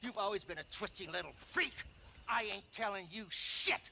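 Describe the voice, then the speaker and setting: An actor playing a squirming, defiant captive outlaw with an American accent, whining and stammering, in a western video game. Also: male voice, high-pitched, expressive, moderate pace, creaky, sharp tone, slight background noise, heavily acted. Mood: defiant, pained. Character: panicked, squirming, defiant captured outlaw in the Wild West. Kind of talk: dramatic video game acting.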